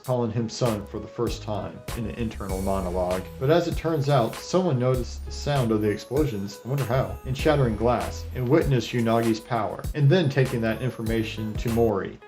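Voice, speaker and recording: A man's voice: mid-range voice, warm tone. A man narrating a casual anime retrospective as its host, with an irreverent, sarcastic delivery and profane asides. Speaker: anime reviewer and video host. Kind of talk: casual scripted retrospective narration over clips.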